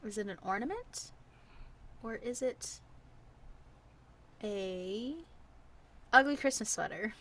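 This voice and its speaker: A woman's voice: medium pitch, slight background noise. A woman co-hosting a podcast, playing a word game in casual chat with frequent giggles. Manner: giggly, quick soft answers. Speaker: podcast co-host.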